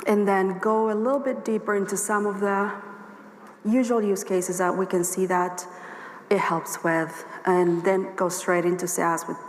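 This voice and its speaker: A woman with a hoarse voice giving a workshop presentation at a conference, explaining her points steadily and speaking straight to the audience. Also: mid-range voice, flat delivery, a little slow, a little hiss or hum. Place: conference hall. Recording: echoey hall with long reverb, faint ambience.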